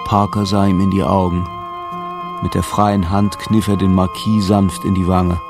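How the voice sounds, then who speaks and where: A man narrating a crime tale in a deliberate, suspenseful, dramatic scripted style. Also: low voice, little pitch movement. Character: grave narrator of a tense jazz crime tale. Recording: music playing behind the voice.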